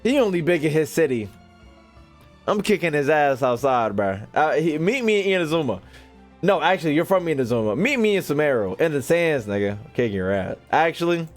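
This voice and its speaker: Animated young American man streaming games. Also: on the high side, fairly quick, faint music behind. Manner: joking, slangy, profane, addresses chat. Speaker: gaming streamer. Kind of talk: casual live gameplay commentary.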